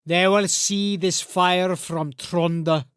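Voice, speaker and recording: Old Norse warrior, male actor, audiobook saga. Grave and measured, resonant tone, high-set for a man, dry close recording. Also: fairly even intonation, fairly bright tone, clean tone, no echo. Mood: solemn, reflective. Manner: solemn, measured, formal phrasing. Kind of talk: audiobook character acting.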